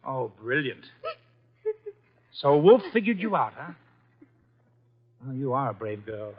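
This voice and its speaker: A man's voice: medium pitch, sing-song, slow pace, slightly rough, exaggerated voice. An actor playing a brash, wisecracking detective's legman and narrator in a 1950s American radio mystery, breezy and slangy. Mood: teasing, soothing. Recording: dull, band-limited old radio recording.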